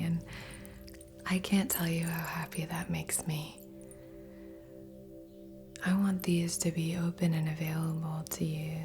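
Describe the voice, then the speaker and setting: Female ASMR Reiki guide: breathy whisper, very level pitch, gentle and unhurried, over clearly audible music. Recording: soft music under voice. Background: background music.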